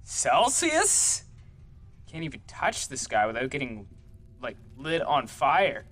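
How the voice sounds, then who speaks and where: A man's voice: mid-range voice, wildly swooping pitch, slow, a bit bright. A young man doing goofy, rambling let's-play commentary at home, joking while he plays. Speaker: gaming streamer.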